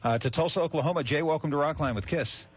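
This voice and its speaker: A man hosting a call-in radio show, brisk and jokey, taking calls. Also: a little high, breathy edge, no echo. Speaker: radio host.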